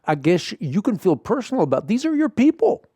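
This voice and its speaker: A man from the Netherlands whose first language is Dutch, hosting a radio interview in an earnest, measured, emphatic way. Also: high voice, sing-song, mellow, breathy edge, no room sound. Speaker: radio show host. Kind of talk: interviewing a guest.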